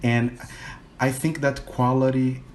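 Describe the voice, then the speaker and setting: A Brazilian man speaks English with a Portuguese accent over a video call. His talk is loose and chatty, full of "you know" and quick laughs. A faint hum of the room sits under his voice. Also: mid-range voice, little pitch movement, a little mellow. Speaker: English teacher and learner. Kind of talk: casual conversation practice call.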